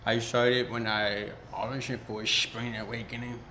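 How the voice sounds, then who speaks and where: A man's voice: medium pitch, flat delivery, sharp tone, a little hiss or hum. Young man answering in an echoey room. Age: 20s. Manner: halting, asks questions to be repeated. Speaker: actor interviewed. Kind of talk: casual interview answers.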